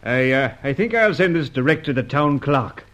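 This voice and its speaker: An actor playing a cornered, grudging crooked town councillor in an old radio serial, gruff and hesitant. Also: male voice, medium pitch, animated, normal speed, fairly bright tone, close to an everyday voice.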